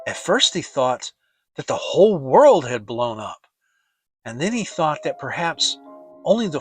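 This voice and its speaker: Children's audiobook narrator, expressive dramatic reading, leisurely pace, very lively intonation. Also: medium pitch. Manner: expressive storytelling.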